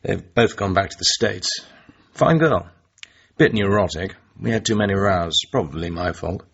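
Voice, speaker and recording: A cool, flirtatious English spy in a radio play. His voice is low and a little husky, with dry, understated wit. The sound is slightly dull. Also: animated, normal speed, heavily acted. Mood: deferential, dry. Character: suave, wry British male secret agent, cool and flirtatious. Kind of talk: radio drama acting.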